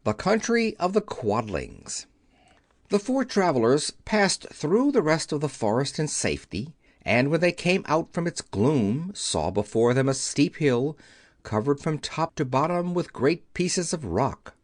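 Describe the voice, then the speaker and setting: A man's voice: on the high side, sing-song, a little slow, no room sound, studio quality. A man narrating a children's audiobook, telling the story as a scripted reading.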